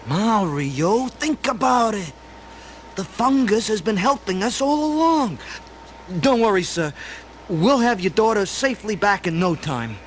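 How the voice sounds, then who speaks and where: An actor playing an earnest, bashful young hero in an audio drama, with a hesitant, polite way of talking. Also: male voice, high-pitched, very animated, a little slow, slight background noise. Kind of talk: dramatic audio play acting.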